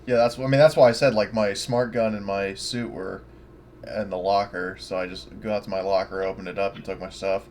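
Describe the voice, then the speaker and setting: A man with a fairly low voice talks casually as a player in a tabletop game. He explains things plainly, with faint noise behind him. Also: fairly even intonation, brisk, darkish tone.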